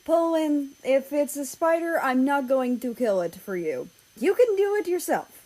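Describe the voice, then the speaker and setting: A woman's voice: high-pitched, lively intonation, unhurried, dark tone, voice pushed up, rough recording. Woman reading as an exasperated man, raised and curt. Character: exasperated man, grumbling at his lover.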